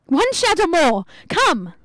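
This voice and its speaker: A woman playing a stern ghostly spirit guide in a radio play, speaking in a solemn, measured, commanding way. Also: very high voice, wildly swooping pitch, a bit bright, faint music behind, heavily acted. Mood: solemn, reproachful.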